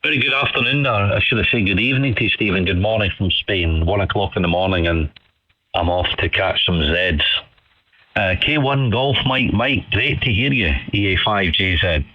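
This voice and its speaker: A man's voice: fairly low pitch, moderate pace. A man chatting casually and in a friendly, rambling way over a ham radio transmission with muffled audio. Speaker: amateur radio operator. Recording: dull band-limited ham radio audio.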